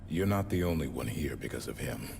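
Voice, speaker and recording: A hardened supersoldier in a game cutscene. The voice is extremely deep and gravelly, cold and terse. Background noise is clearly audible. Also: male voice, lively intonation, a little fast, full character voice. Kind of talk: video game cutscene acting.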